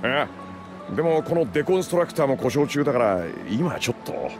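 A man's voice: medium pitch, very animated, fairly quick, music in the background, close to an everyday voice. A man voicing an easygoing, helpful mechanic in a Japanese anime game, casual and familiar. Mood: easygoing, explanatory.

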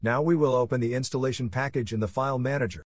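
Husky man narrating a tutorial, dry sound. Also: medium pitch, quick pace, dry recording. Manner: plain step-by-step instructing. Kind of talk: scripted software tutorial narration.

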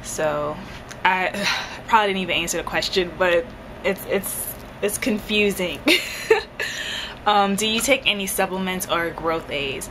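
A young woman with a fairly low voice, chatting casually to her camera about her hair. She hesitates often with ums and small laughs. The recording has a clear steady hiss underneath. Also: a little slow. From Jamaica. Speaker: beauty vlogger answering viewer questions. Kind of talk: casual Q&A chat. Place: indoors, bedroom in front of a headboard.

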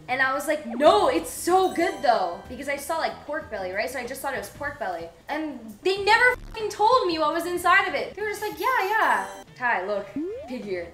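A woman's voice: sits high, a bit bright, far from the mic. A young woman hosting a casual cooking stream in her home kitchen, chatting and giggling to her viewers. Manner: chatty, giggly, fast rambling asides. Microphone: room mic from a fixed camera. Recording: echoey kitchen with music behind. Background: background music.

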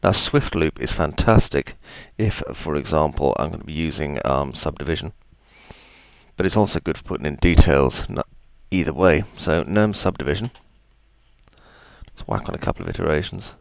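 A man with a gravelly, deep voice, chatting his way through a software tutorial with plenty of ums and asides. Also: animated, normal speed, no room sound. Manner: chatty, self-deprecating, thinks aloud with ums. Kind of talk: screen-recorded software tutorial.

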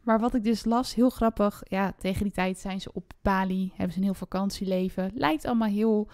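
A woman's voice: mid-range voice, fairly quick, darkish tone, no room sound. A woman chatting casually and appreciatively about books as a podcast host, lightly amused. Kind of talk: casual book discussion.

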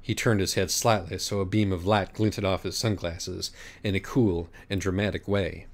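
A man's voice: sits low, steady tone, moderate pace. A man narrating a scripted audiobook story.

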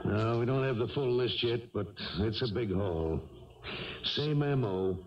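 An actor playing a dutiful police lieutenant with a clipped, official manner in a 1970s radio drama. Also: male voice, mid-range voice, unhurried, background noise, close to an everyday voice. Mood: businesslike. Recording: distant, dull vintage radio recording.

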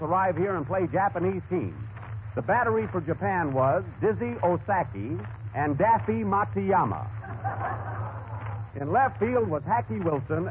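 High-voiced comic radio announcer. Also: male voice. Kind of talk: 1930s radio comedy acting. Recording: dull noisy 1930s radio recording.

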